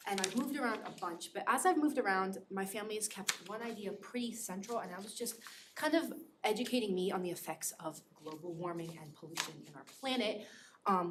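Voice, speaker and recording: A teenage girl from Scotland giving an eager, upbeat pitch presentation as a student presenter, with some fillers. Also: noisy background. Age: teens.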